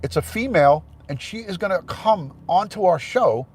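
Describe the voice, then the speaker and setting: A man's voice: high voice, lively intonation, moderate pace, darkish tone, a little hiss or hum. A man hosting a casual livestream, congested with a head cold, chatting and thanking viewers by name. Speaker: livestream host.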